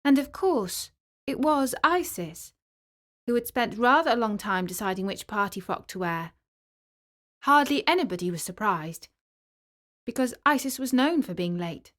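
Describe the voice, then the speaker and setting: A woman storyteller narrating a children's story in an expressive, clearly articulated storybook manner. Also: fairly high pitch, a little slow.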